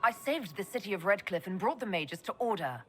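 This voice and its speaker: A woman's voice: medium pitch, animated, brisk, slightly rough, faint music behind. A woman playing a composed, wry elf leader in a video game, with a dry, level-headed manner. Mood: assured.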